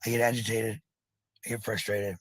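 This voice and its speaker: A man's voice: fairly low pitch, little pitch movement, a little fast, slightly rough, dry recording. A middle-aged man talking casually in a rambling monologue, blunt and defensive, with some swearing. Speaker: parent talking about family life.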